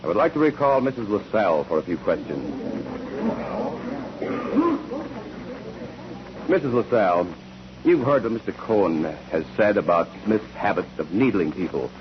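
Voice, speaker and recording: An actor playing a formal, relentless courtroom examiner who questions witnesses deliberately in a 1940s radio drama. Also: male voice, on the low side, expressive, lightly acted. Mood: pressing. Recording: muffled, noisy old 1940s radio recording.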